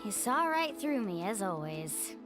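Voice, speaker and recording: A woman's voice: medium pitch, a little slow, music in the background. A woman voicing an upbeat, eager, confident young island guide in a video game. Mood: fond, determined. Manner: bright-spirited, eager, expressive.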